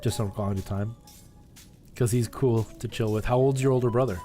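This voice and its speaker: A man's voice: medium pitch, steady tone, a little fast, warm tone, faint music behind. A man chatting casually and informally on a live game stream, rambling in asides to his viewers and giving tips.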